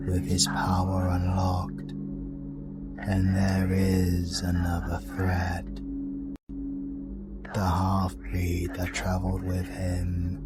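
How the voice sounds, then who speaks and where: An actor playing a cold, scheming dark deity in a fantasy audio drama, condescending and menacing, with drawn-out deliberate delivery. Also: male voice, low-pitched.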